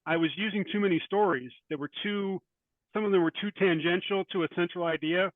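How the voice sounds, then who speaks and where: Man, slightly high pitch, dry recording, interview guest, writer, halting, thoughtful, repeats himself. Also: dry recording, narrow, tinny audio. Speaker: guest, short fiction writer. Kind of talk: interview conversation.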